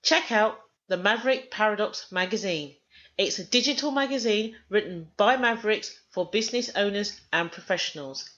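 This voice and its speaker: A woman hosting a podcast, reading a scripted introduction and then interviewing a guest in a measured, clear way. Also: medium pitch, a bit bright, muffled recording. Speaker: podcast host.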